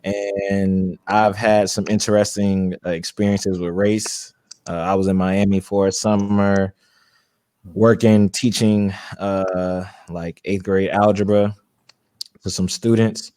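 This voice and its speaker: A man in his thirties with a deep voice, calmly telling his background as an interview guest. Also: takes it slowly, dry recording. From Brooklyn, New York, raised in Charlotte, North Carolina.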